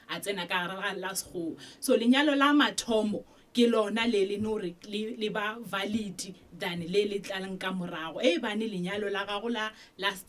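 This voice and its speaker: A South African woman, a legal expert guest, explaining marriage law on a radio show with some hesitations. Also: mid-range voice.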